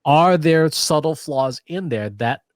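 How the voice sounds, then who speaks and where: A man's voice: fairly high pitch, expressive, slow, no echo, studio quality. A man co-hosting a podcast in casual conversation, explaining ideas with analogies and frequent restarts. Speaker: podcast co-host.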